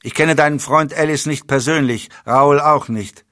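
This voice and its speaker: A man voicing a calm, commanding, bone-dry spymaster who speaks in curt, stern orders. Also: a little high, moderate pace, dry recording, voice pushed up.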